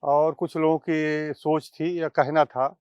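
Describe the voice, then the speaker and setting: Man, slightly high pitch, smooth clear voice, halting informal commentary to viewers. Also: level tone, darkish tone, dry recording, highs cut off. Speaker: presenter of a news video channel.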